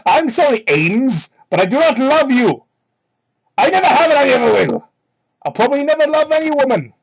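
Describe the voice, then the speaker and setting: A woman's voice: sing-song, moderate pace, gravelly, breathy edge, full character voice. A woman voicing an irritable, blunt young male cartoon hedgehog hero, declaiming in exasperation on a crude microphone. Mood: fed up, defiant. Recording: crude, low-quality microphone.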